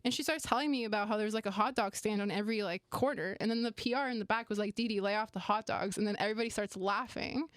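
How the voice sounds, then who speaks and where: A woman's voice: medium pitch, fast talker, few pauses, dry recording. Chatty young woman, dry close mic. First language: Romanian. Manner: chatty, many "like" fillers. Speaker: sports media guest. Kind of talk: casual interview chat.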